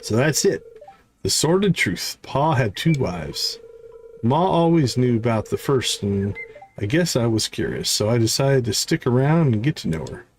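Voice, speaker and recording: A man's voice: mid-range voice, sing-song, moderate pace, lightly acted. Man reading as a guarded, folksy sailor, noisy background. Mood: defensive, confessional. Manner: folksy, dropped-grammar sailor talk. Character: gruff, guarded sailor son grieving his father. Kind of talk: reading video game dialogue aloud.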